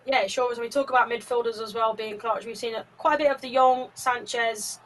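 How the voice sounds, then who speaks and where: A woman's voice: a little high, fairly even intonation, fairly quick, a bit bright, distant mic, low-quality recording. Chatty female co-commentator. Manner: chatty, upbeat analysis. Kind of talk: color commentary.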